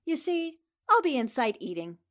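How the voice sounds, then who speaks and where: A woman acts out a confident newspaper reporter turned sleuth in an old-time radio play. Her voice sits fairly high and moves in lively swoops. She explains things crisply and with total assurance. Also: moderate pace. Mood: playful teasing. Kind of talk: 1940s radio drama acting.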